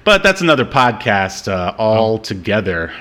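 Low-pitched man hosting a podcast. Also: very animated, a little slow, a bit bright, a little hiss or hum. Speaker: podcast host. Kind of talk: casual chat about an old superhero movie.

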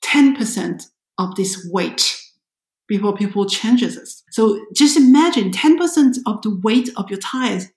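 A woman from France co-hosts a podcast in a casual, conversational style. Her voice sits at a medium pitch. The recording is roomy, with audible echo around her words. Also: expressive, unhurried, a little mellow. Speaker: podcast co-host.

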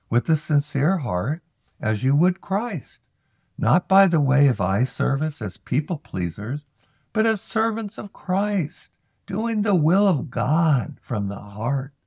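A man reciting scripture from a text in a measured, emphatic way, phrase by phrase. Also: a little high, creaky, dark tone, no echo. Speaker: scripture reader. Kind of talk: scripted Bible reading, recited aloud.

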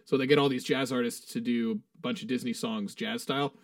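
A man's voice: medium pitch, steady tone, fairly quick, no room sound, studio quality, distant mic. A man co-hosting a podcast, chatting loosely and swearing as he reads through a ranked song list. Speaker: podcast co-host. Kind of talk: casual chat.

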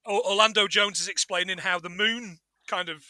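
A man's voice: high-pitched, fairly even intonation, moderate pace, bright, forceful tone, no room sound. A man co-hosting a film podcast, recapping casually in a jokey, sardonic and sweary way.

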